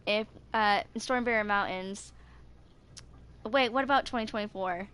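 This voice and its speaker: A woman's voice: mid-range voice, a little slow, fairly bright tone, smooth. A woman in her twenties chatting casually and reading video game lore aloud as a gaming creator. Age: 20s.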